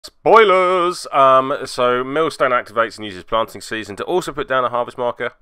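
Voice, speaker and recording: Male game narrator, wry and self-mocking, clear forceful delivery, dry close-miked sound. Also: medium pitch, moderate pace, dry recording. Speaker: host narrating a tabletop game battle report. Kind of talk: casual recorded commentary.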